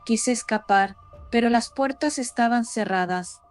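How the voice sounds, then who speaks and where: A woman's voice: fairly high pitch, a little slow. A woman reading a scripted first-person horror story as a narrator. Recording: voice over background music. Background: music.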